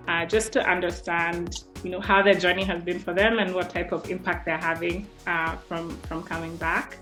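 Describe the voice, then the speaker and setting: A woman hosting a podcast interview, warm and encouraging, with many short affirmations. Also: lowish voice, echoey room. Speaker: podcast host.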